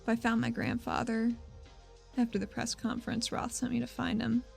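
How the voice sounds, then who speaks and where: A woman improvising in-character dialogue as a player in a tabletop role-playing show, halting and confiding. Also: on the high side, flat delivery, vocal fry. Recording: music bed behind the voice.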